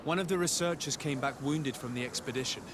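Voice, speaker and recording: A man's voice: mid-range voice, fast, noisy background, natural voice. A man playing a courteous, composed noble envoy in video game cutscene dialogue. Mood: calm, polite.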